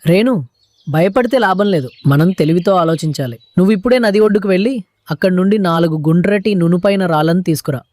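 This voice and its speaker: A performer voicing a wise, steady pigeon, measured and instructive, in a cartoon story. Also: mid-range voice, no room sound, natural voice. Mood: firm. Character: wise, steady pigeon giving firm advice.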